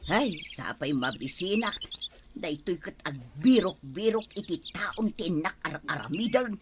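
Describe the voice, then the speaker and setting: A woman's voice: fairly low pitch, lively intonation, measured pace, noisy background. A woman playing a weary, complaining working wife in an Ilocano village comedy, with an Ilocano accent. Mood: tired, grumbling. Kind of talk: Ilocano comedy drama acting.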